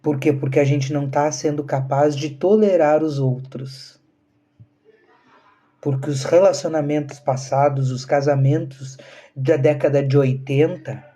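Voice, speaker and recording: A man's voice: fairly high pitch, mellow. A man, talking earnestly to viewers on a live stream and asking rhetorical questions. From southern Brazil. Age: 30s. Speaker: spiritual channel host.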